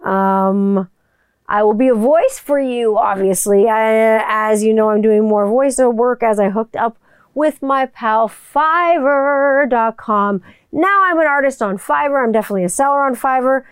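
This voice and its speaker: Casting note: woman voiceover artist in a loose, rambling home monologue; smooth clear voice, dry close sound. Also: on the high side, measured pace, no echo. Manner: chatty, rambling, swears casually. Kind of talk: casual monologue to viewers. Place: home room with people upstairs.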